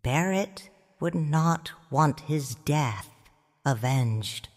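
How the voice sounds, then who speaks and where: A woman narrating in first person as a grieving widowed noble mother in a fan audio drama, quiet and restrained. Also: deep voice, animated, no room sound, studio quality, heavily acted. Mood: sorrowful. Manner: slow, hushed storytelling.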